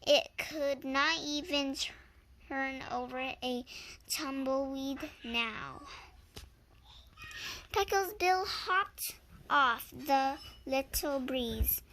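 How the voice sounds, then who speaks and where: High young voice, very slow read-aloud, halting, repeats words as they are sounded out. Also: bright, forceful tone, slight background noise. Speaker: reader and channel host. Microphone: handheld phone.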